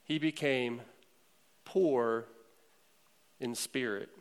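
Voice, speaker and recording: A man's voice: medium pitch, drawn-out words, clean tone. A man preaching a sermon, retelling a story in a conversational way with frequent fillers. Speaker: pastor.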